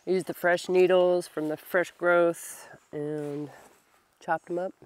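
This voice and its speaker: Slow, muttering woman at an outdoor camp. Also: on the low side, steady tone, darkish tone. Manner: slow, thinking aloud, muttering to herself. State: congested from a long cold. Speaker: bushcraft vlogger. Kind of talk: casual talk while working. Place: outdoors at a grassy campsite under a tarp. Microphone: camera on a tripod a few meters away.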